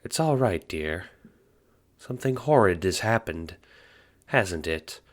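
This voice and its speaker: A man's voice: deep voice, lively intonation, takes it slowly, exaggerated voice. A man voicing a kindly, folksy old grandmother in an audio drama. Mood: worried. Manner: folksy, calls people dear.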